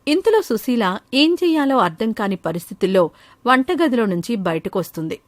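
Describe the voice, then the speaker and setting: A woman's voice: on the high side, big pitch swings, moderate pace, no echo. A woman storyteller reading scripted narration for a children's moral story.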